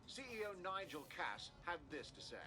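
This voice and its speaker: A formal news anchor in a game. His pitch is high for a man, and he delivers scripted news over clear music. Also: big pitch swings, moderate pace. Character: formal news anchor reporting government measures.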